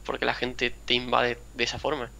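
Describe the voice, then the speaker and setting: A young man from Andalusia whose mild Andalusian accent barely shows, talking in a rambling, chatty way and acting out little quoted greetings. His voice sounds bright and forward, at a middling pitch, over a casual call. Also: completely flat delivery, normal speed, creaky, a little hiss or hum. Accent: mild Andalusian Spanish. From Spain, a town near Seville. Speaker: gaming channel host. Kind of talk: casual chat over a video game.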